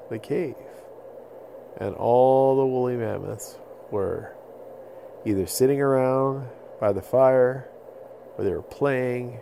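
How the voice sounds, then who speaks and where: Casting: male kids' podcast storyteller, dark mellow tone, medium pitch, simple explanatory delivery, faint noise behind. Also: creaky. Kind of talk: improvised bedtime storytelling for children.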